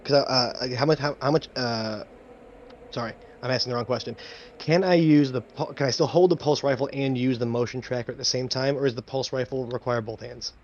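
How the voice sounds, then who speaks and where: A man's voice: mid-range voice, steady tone, a little fast, a little mellow. Hesitant man on dull, lo-fi audio. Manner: hesitant, self-correcting, thinking aloud. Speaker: tabletop game player. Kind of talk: casual game table chat. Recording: dull lo-fi audio with faint noise.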